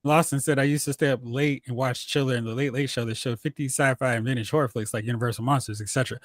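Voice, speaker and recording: A man's voice: mid-range voice, fairly even intonation, quick pace, dry recording, studio quality. A man hosting a movie talk show, chatting casually and jokingly about films and recapping news.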